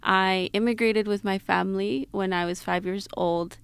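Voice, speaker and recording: A woman's voice: medium pitch, steady tone, moderate pace, gravelly, smooth, dry recording. A woman in her twenties with an American accent, telling her personal story in an interview in a reflective, explanatory manner. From Mexico-born, grew up in Utah, United States. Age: 20s. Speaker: interview guest.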